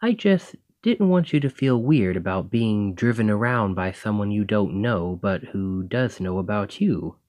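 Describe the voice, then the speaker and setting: A man's voice: fairly low pitch, expressive, measured pace, hardly any pauses, a little mellow, no echo, clean studio sound. A man playing a chatty, cheeky stand-in carriage driver in an audio roleplay, talking casually and awkwardly to the listener with sly insinuation. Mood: coaxing, sheepish. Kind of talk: ASMR roleplay acting, addressing the listener.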